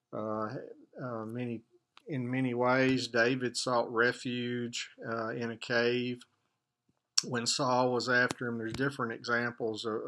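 Bright-voiced older man, gentle devotional. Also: mid-range voice. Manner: gentle, hesitant, many fillers. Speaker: church elder. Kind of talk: devotional talk and prayer. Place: office with wall map, indoors. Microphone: webcam at desk.

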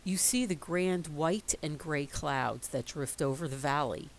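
A middle-aged woman reading a picture book aloud in a slow, expressive storytelling voice. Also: sits low, slight background noise.

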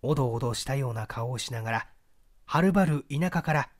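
A man narrating literary fiction from a script as an audiobook reader, with deliberate, theatrical storytelling. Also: mid-range voice, lively intonation.

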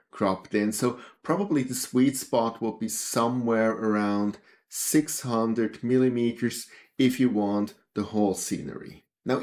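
Swiss-accented male host in his fifties, mid-pitched, casual headset talk. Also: darkish tone. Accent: Swiss German. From Switzerland. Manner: chatty, gestures while talking. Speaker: astrophotography channel host. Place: small home office.

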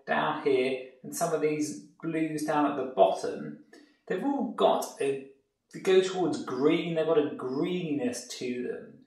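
A man's voice: a little high, recorded from a distance. A man with an English accent teaching a painting lesson, explaining each step in an easygoing way as he works. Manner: relaxed explanatory, thinking aloud while painting. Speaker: art tutor. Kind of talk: painting tutorial demonstration. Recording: echoey room, mic set back, soft music.

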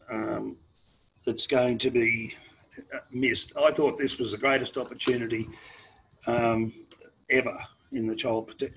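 An older man with an Australian accent, losing his voice, speaking haltingly in a webinar over a video call from a sheltered veranda. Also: mid-range voice, fairly bright tone, breathy edge, low-quality recording. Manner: halting, many fillers and restarts. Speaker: panelist. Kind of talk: webinar talk. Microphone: laptop video call.

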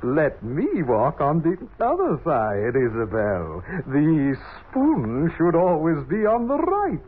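An actor playing a courtly, bumbling walking soup spoon in 1940s children's radio acting, fussy, halting and polite. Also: male voice, on the high side, huge pitch swings, phone-quality sound, full character voice. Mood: fussy, courteous. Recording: thin, hissy 1940s radio recording.

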